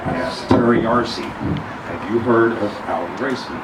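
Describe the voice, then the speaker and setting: A middle-aged man with a loud voice giving an unscripted, rambling memorial tribute, blunt and swearing freely. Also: fairly low pitch, unhurried. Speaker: eulogy speaker. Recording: echoey room, mic far off, loud noise.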